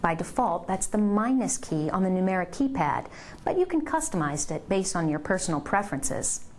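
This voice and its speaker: A woman reading scripted instructional narration for a software tutorial, giving clear step-by-step directions. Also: fairly low pitch, sing-song, moderate pace, a little hiss or hum.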